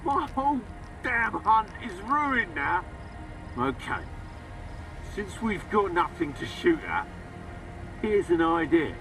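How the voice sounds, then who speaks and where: An unhinged game colonel with a high, drawling voice. He barks and taunts with theatrical swagger, heard at some distance from the mic. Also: very animated, slow pace, long pauses, fairly bright tone, faint music behind, heavily acted. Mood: manic, bloodthirsty. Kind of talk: video game character acting.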